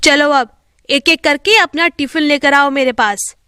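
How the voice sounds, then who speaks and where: A woman's voice: high voice, measured pace, pushed and bright, no room sound, studio quality. A woman voicing a cruel, greedy schoolteacher in a storybook tale, bossy and threatening in her delivery.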